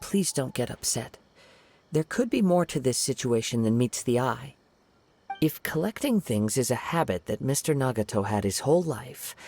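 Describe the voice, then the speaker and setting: A man voicing a calm, courteous young wandering swordsman in a game, speaking in a polite, reassuring way. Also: medium pitch, slight background noise. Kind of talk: game cutscene voice acting.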